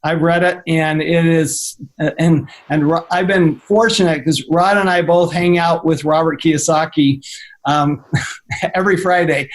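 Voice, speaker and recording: A man hosting an interview show, talking in a loose, halting way with frequent fillers and restarts. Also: fairly high pitch. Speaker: host. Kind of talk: interview podcast. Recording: remote call.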